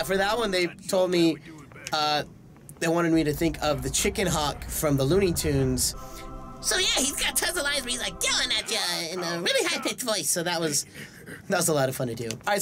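Young man, high voice, swooping intonation, excitable gamer commentary, self-deprecating, game music behind. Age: young adult. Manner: excitable, self-deprecating, often exclaiming in play. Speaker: voice actor and gaming video host. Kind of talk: casual let's play commentary. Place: small indoor room. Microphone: desk microphone close by, with headset worn.

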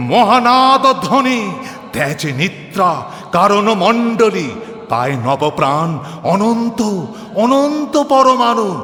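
A man reciting devotional poetry in a solemn, declamatory way with drawn-out, chant-like phrasing. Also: high voice, a bit bright. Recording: long hall reverb, music behind. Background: music.

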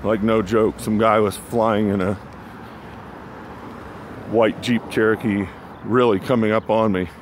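A man giving casual, rambling, low-key vlog narration on a phone while out walking. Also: level tone, measured pace, long pauses. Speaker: vlogger. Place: outdoors on a sidewalk beside a busy road. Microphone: handheld phone. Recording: outdoor phone recording with traffic noise. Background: passing cars, street ambience.

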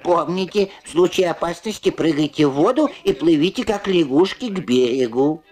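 Lively woman dubbing an old cartoon. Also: fairly low pitch, moderate pace. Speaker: voice-over translator. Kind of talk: scripted single-voice cartoon dubbing. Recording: old film soundtrack with music.

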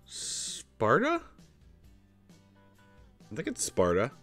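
A man's voice: medium pitch, huge pitch swings, very slow, fairly bright tone, soft background music. A man in his forties giving casual, off-the-cuff commentary as a channel host, thinking aloud and explaining as he goes. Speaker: host of a history reaction channel.

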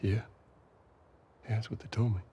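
With some ambient noise behind him, an actor plays a middle-aged man in a cinematic game. His voice is very low and gruff. He is a weary, guarded father figure who answers in terse, measured phrases. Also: little pitch movement, muffled recording, natural voice. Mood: evasive. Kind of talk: naturalistic cinematic game acting.

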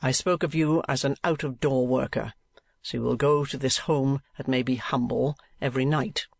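Man playing a stern uncle, storybook character, curt, impatient, sarcastic, gravelly, dry sound. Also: mid-range voice, steady tone, moderate pace, no echo. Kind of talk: audiobook character voice.

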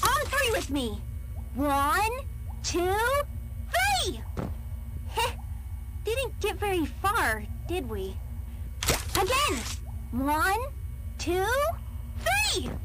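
Very high-pitched cartoon critter toy. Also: female voice, huge pitch swings, very slow, pauses often, very noisy, full character voice. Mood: mildly let down. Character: small cheerful cartoon critter toy, eager and cuddly. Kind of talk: children's cartoon character acting in a game.

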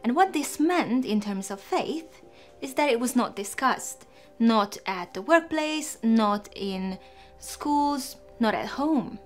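A woman's voice: on the high side, expressive, slow pace, soft background music. A woman in her thirties from Hungary, a native Hungarian speaker, giving a personal testimony in an interview. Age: 30s. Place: indoor studio room. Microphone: overhead boom.

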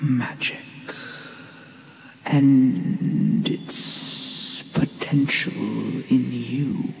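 A gentle ancient dragon acted out by a low-voiced performer teaches in a hushed, solemn ASMR roleplay. The speech is extremely slow and deliberate, breathy with a gravelly creak, over faint hiss and ambience. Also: flat delivery. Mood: reverent, instructive. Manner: hushed, deliberate, long pauses.

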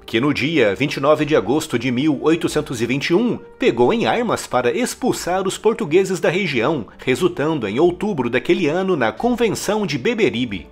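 A man reading a scripted history documentary narration in a measured, emphatic storytelling manner. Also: fairly high pitch, slightly rough.

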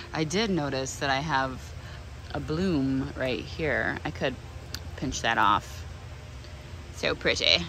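Woman, thirties, slightly low but bright voice, enthusiastic casual vlogger, handheld sound in a greenhouse. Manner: chatty, enthusiastic. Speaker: plant nursery owner and vlog host. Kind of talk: casual hand-held vlog tour. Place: inside a greenhouse with running fans. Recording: noisy fans, handheld camera audio. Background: steady fan noise.

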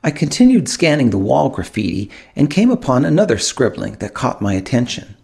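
A man narrating a first-person audiobook in an expressive storytelling manner, with dramatic pauses. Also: mid-range voice, creaky, slightly rough. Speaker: author narrating his own audiobook.

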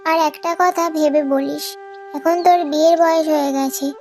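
A woman voicing an arrogant, greedy middle-aged stepmother in a cartoon, scolding loudly and sneering. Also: high-pitched, fairly even intonation, normal speed, soft background music. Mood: scornful. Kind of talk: cartoon moral-story voice acting.

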